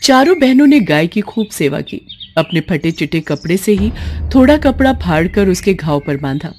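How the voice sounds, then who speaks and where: A woman narrating a scripted moral story in an expressive, emotive, dramatic way. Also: on the low side, moderate pace, slight background noise.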